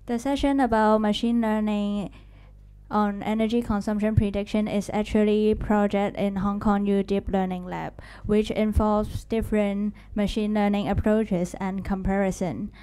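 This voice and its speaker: Young female session host, mellow clear voice, measured formal intro at a podium. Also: medium pitch, little pitch movement. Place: lecture hall. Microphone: podium mic.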